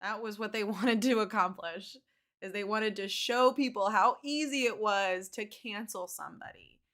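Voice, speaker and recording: A woman's voice: mid-range voice, moderate pace, fairly bright tone. A woman giving casual explanatory commentary like a teacher, emphatic and repeating words for stress. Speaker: teacher commenting on a video for students.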